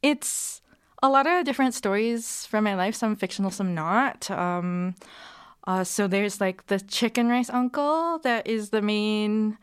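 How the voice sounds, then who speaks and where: Smooth-voiced woman. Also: medium pitch, a little slow. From Singapore. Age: 30s. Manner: hesitant, many ums, soft laughs. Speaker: guest playwright and actor. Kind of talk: casual interview answers.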